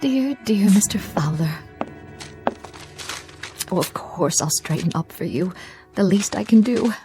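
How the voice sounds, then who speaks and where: A woman's voice: medium pitch, lively intonation, unhurried, music in the background, close to an everyday voice. A woman acting in a radio drama as a devoted, solicitous housekeeper with a tender, deferential manner. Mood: tender concern.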